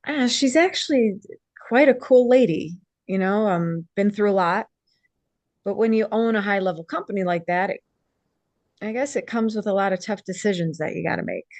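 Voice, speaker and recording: A woman's voice: medium pitch, moderate pace, darkish tone, dry recording, lightly acted. A woman actor playing an earnest, determined young fashion designer, naturalistic and conversational with some hesitation, in a table read. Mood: thoughtful.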